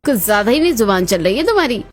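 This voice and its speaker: A woman's voice: a little high, big pitch swings, rapid-fire. A woman voicing a spiteful, jealous elder daughter-in-law in a cartoon family drama, haughty and taunting. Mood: angry. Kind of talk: Hindi cartoon moral-story voice acting.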